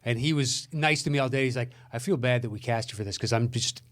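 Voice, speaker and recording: A man's voice: medium pitch, fast, a bit bright. Blunt American man, casual co-host. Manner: blunt, exasperated, frequently swearing. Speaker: podcast co-host. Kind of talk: casual film discussion and anecdotes.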